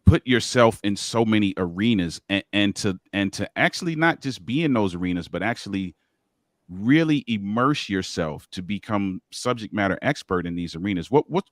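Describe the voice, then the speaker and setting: A male podcast host with a very low, deep voice steers the interview with eager questions, often repeating words as he restarts. He sums up his guest's ideas back to him. The sound is dry and close, with no room echo. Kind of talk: interview hosting.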